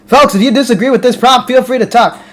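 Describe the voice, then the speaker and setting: A man's voice: very high voice, quick pace. An American man hosting a live stream, reading viewer comments aloud and talking back to them casually, often restarting his words. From United States. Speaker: livestream host reading chat.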